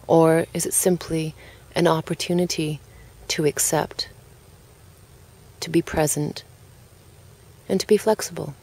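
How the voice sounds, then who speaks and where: A woman with a low-set voice narrates a guided relaxation. Her delivery is calm and gentle, moving without hurry and pausing between short phrases as in a scripted meditation. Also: slightly rough. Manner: calm, unhurried, gently spoken with pauses.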